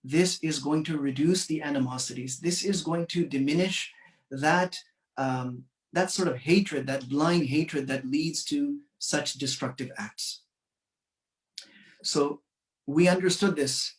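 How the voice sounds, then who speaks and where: A man from Canada giving an earnest, measured commemorative speech, pausing often with fillers. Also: a little high, reverberant, far from the mic. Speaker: community speaker.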